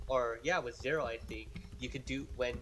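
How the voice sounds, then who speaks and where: A man's voice: mid-range voice, steady tone, moderate pace, fairly bright tone, slight background noise. A young man in casual gaming chat as a podcast co-host, telling rambling stories and acting out quotes.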